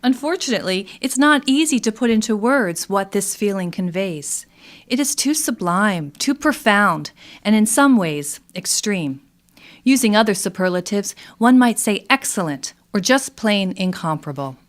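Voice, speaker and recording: A woman's voice: medium pitch, lively intonation, normal speed. A woman reading scripted narration as a presenter for a radio theatre troupe.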